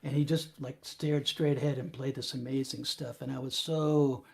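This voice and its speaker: A man in his sixties co-hosts a music show. He speaks at an ordinary middle pitch and eagerly shares memories. His talk is loose and casual, peppered with "like" and "you know". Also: fairly even intonation, normal speed, vocal fry, a little mellow. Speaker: co-host and guitarist. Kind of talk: casual reminiscing chat.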